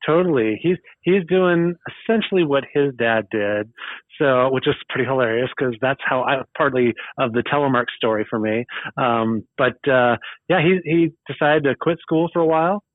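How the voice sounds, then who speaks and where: A man's voice: medium pitch, moderate pace, dry recording. Laid-back man on a tinny line. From Eugene, Oregon, USA. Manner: easygoing, laughs often, rambling storyteller. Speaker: guest. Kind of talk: casual podcast chat. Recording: tinny phone-like remote line.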